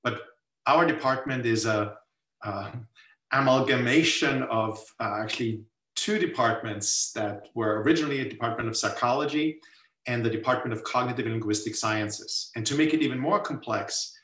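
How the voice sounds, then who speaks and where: An older man from Austria, a guest professor talking thoughtfully in a webinar with many filler pauses. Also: mid-range voice, a bit bright, far from the mic. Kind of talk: webinar talk. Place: home room on a video call. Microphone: laptop mic on video call. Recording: roomy video call audio, mic set back.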